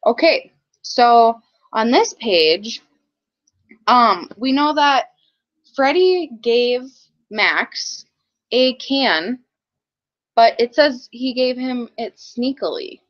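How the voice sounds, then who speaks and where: A woman teaches young children through a read-aloud, explaining in a halting way. Her voice is a bit high and very unhurried. The recording sounds dull and lo-fi. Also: lively intonation.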